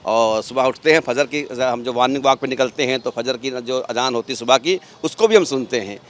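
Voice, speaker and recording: A man's voice: mid-range voice, brisk. Man, mid-range voice, local interviewee, earnest explaining, repeats and rephrases, outdoor news interview. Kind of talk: street interview answers. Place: outdoors outside a mosque.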